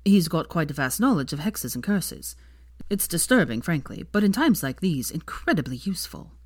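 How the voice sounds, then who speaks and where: Woman doing a smug, teasing young wizard man, low. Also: sing-song, moderate pace, husky, dry recording, clean studio sound, heavily acted. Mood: smugly pleasant.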